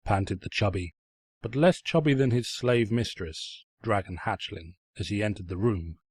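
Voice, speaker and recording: Casting: male story narrator, raspy, mock-pompous and sardonic, very dry close recording. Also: on the low side, moderate pace, a little mellow, dry recording. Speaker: narrator, fan fiction reader. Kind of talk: theatrical scripted story narration.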